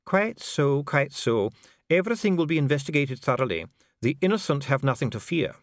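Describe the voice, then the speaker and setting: A man voicing a calm dwarf official with a measured, bureaucratic, smoothly courteous manner in an audiobook. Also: a little high, dry recording, clean studio sound, pitched above the performer's own voice. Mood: coolly polite. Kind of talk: audiobook character voice.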